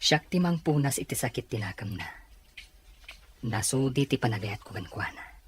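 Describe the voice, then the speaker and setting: A man playing an earnest young suitor who speaks gently in an audio drama. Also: mid-range voice, expressive, normal speed, slight background noise, close to an everyday voice.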